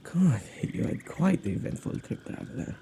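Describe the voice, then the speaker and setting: A man acting an anxious, protective witch who guards spirits, in an intimate, expressive ASMR audio roleplay with a halting conversational delivery. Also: on the high side, vocal fry. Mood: thoughtful. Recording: faint background noise.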